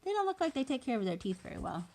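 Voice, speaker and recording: A woman reading a storybook aloud to preschoolers, expressive, with chatty little asides to her young listeners. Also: high voice, racing, a little hiss or hum.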